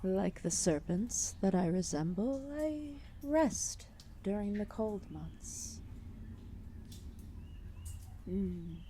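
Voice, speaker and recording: A woman's voice: medium pitch, lively intonation, mellow, a little hiss or hum. A woman voicing a giant, ancient serpent deity, regal, drowsy and amused, speaking in formal, condescending phrases in an ASMR roleplay audio drama. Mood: drowsy curiosity. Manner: slow, languid, formal, condescending speech.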